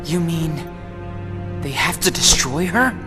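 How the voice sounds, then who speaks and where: A loyal, indignant teenage game hero. He sounds intense and questioning, with swinging intonation and unhurried pacing over prominent music. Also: fairly high pitch, animated. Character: earnest, indignant teenage boy, stubborn and loyal.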